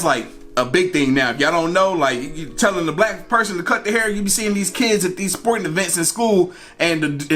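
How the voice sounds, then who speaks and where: An American man telling a personal story in a casual, conversational way, acting out bits of dialogue and repeating phrases for emphasis. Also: fairly high pitch. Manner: animated retelling, acting out dialogue. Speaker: storyteller and vlog host.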